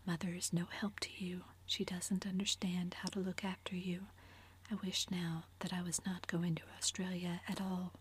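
A woman's voice: fairly low pitch, flat delivery, normal speed, a little hiss or hum, lightly acted. A woman whispering the part of a sullen, protective sixteen-year-old brother in a storybook reading.

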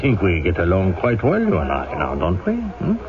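An actor playing a lecherous, oily factory boss in old-time radio style, hesitant and insinuating, with little chuckles. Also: male voice, deep voice, wildly swooping pitch, moderate pace, background noise. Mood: pressuring, smug. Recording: muffled old radio drama, loud music behind.

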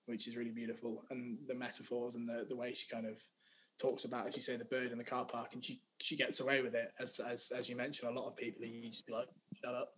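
A man's voice: medium pitch, flat delivery, fast talker, a bit bright, slight background noise, poor audio. A young man giving a thoughtful, agreeable opinion on a song, often restarting his sentences. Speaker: podcast co-host. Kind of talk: casual music review chat.

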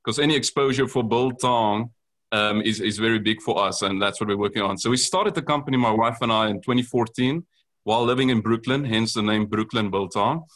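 A man with a South African accent speaks in a lowish voice. He earnestly explains how his company makes its product, pausing on frequent ums as he goes. It sounds like a guest on a business podcast. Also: a bit bright. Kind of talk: podcast interview.

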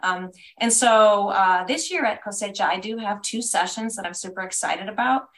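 American woman guesting on a podcast, super enthusiastic, lists things off, middle pitch. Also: normal speed. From United States. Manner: enthusiastic, warm about her work, speaks in lists. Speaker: guest, language education consultant. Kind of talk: podcast interview answers.

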